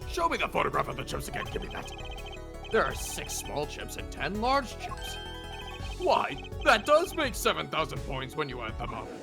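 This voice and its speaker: Pompous older male judge acted by a man, formal, gravelly, animated, game fandub, music underneath. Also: a little high, normal speed, heavily acted. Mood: curious.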